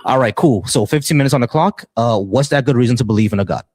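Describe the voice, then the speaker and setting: A man's voice: mid-range voice, quick pace, darkish tone, dry recording, clean studio sound. A man hosting and moderating a live debate panel, insistent and challenging, often repeating himself.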